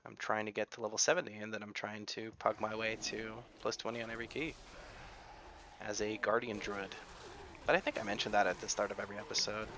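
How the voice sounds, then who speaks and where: A man's voice: on the low side, flat delivery, fairly quick, noisy background. A man with an American accent giving chatty, rambling gameplay commentary and often correcting himself. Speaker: gaming YouTuber.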